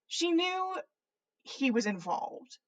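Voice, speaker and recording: A woman's voice: very high voice, big pitch swings, takes it slowly. A woman podcast host with an informal manner, reading stories aloud and chatting casually. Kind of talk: animated story reading and casual livestream chat.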